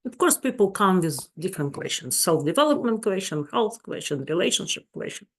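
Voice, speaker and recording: A woman's voice: on the low side, very animated, fairly quick, slightly rough. A woman with a Russian accent, a therapist giving a webinar talk in her second language, searching for words and speaking earnestly. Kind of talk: webinar presentation.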